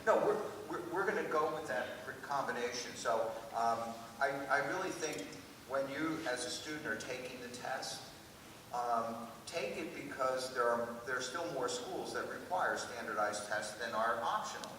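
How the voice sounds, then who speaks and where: A man's voice: medium pitch, moderate pace, pushed and bright. An American man on a live admissions panel, giving direct, plain-spoken advice to students. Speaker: college admission officer on a panel. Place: auditorium at a public school event. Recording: echoing hall, distant mic, faint noise.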